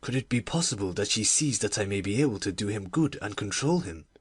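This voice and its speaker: A brooding middle-aged gentleman, heavy with old grief, as a woman reader puts him on, his voice dropped low and slightly breathy. He speaks slowly and pensively, as if thinking aloud. It is a storybook reading, and the voice sounds a little set back from the mic. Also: lively intonation, no room sound, heavily acted. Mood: hopeful. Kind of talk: audiobook dramatic reading.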